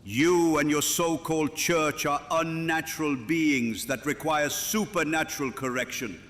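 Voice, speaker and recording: A man's voice: a little high, steady tone, unhurried, fairly bright tone, soft background music. An actor playing a stern, indignant nobleman in an audio horror drama, commanding and disdainful. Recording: long hall reverb.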